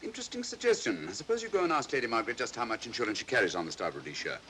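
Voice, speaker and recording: An actor playing a coolly analytical English detective, precise, articulate and dryly understated, in 1940s mystery film style. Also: male voice, mid-range voice, big pitch swings, rapid-fire, creaky, bright, forceful tone, exaggerated voice. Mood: dry, teasing. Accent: British. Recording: noisy old film soundtrack.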